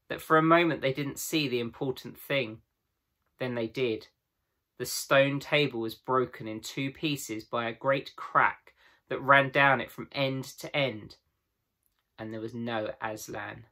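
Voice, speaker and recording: A man's voice: mid-range voice, fairly even intonation, unhurried, bright, forceful tone. A man in his thirties narrating a children's storybook aloud, as a teacher would to pupils. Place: small room at home with bookshelves.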